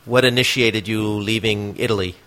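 A man with a fairly low voice interviews a guest over a video call. He keeps to brief, plain follow-up questions. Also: steady tone, moderate pace, fairly bright tone.

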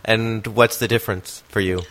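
A man's voice: lowish voice, fairly even intonation, normal speed, a bit bright. A man interviewing a guest over a video call, asking brief, plain follow-up questions.

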